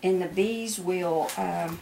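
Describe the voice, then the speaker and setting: Creaky-voiced woman explaining casually. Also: on the low side, slow pace, dark tone, roomy sound, a little hiss or hum. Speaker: beekeeper giving instruction. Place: indoor kitchen.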